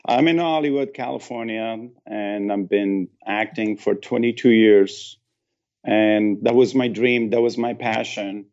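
Gravelly Afghan-accented man telling his story. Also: on the low side, a little mellow, dull audio. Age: 50s. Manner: earnest, emphatic, repeats phrases, non-native grammar. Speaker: interview guest, actor and former military cultural advisor. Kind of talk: personal storytelling in an interview.

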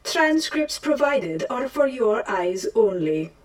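A woman playing a formal mission-log assistant in an audio drama, making measured, procedural announcements. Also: a little high, big pitch swings, far from the mic. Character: formal female mission-log assistant of a time-travel force.

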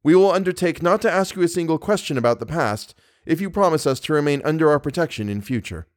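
A lovesick young gentleman from a classic novel, as a male reader plays him. He is courteous and anxious, speaking formally with impassioned, widely moving pitch in a dry recording. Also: lively intonation, quick pace, no room sound, natural voice. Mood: urgent, insistent.

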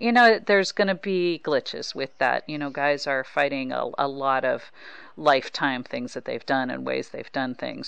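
Woman sports host with creaky, clear voice. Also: extremely low pitch, a bit bright, dry recording. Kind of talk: casual sports conversation.

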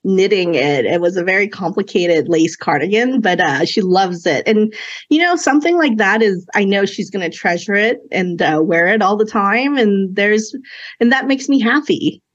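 A woman's voice: medium pitch, lively intonation, moderate pace, muffled recording. Woman with a Cantonese first language, thoughtful. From China. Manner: reflective, many fillers and restarts. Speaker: podcast guest. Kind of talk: conversational interview.

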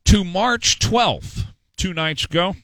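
A man's voice: high-pitched, lively intonation, slow, a bit bright, no echo. A middle-aged man hosting a talk show, giving opinionated political commentary in a sarcastic, mocking, emphatic way.

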